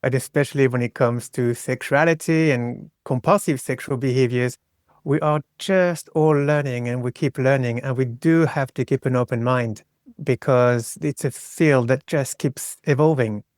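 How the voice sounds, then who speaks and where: A man's voice: medium pitch, steady tone, normal speed, no echo, clean studio sound. A middle-aged man from France with a French accent, speaking reflectively and explaining his thoughts in a conversational interview. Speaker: podcast guest, psychosexual psychotherapist.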